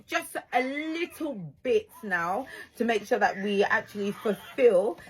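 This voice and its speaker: A woman hosting a radio show with a naturally husky voice, chatting with a guest in a teasing, chatty way that breaks into laughter easily. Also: fairly high pitch, expressive, unhurried, noisy background. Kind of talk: casual on-air chat.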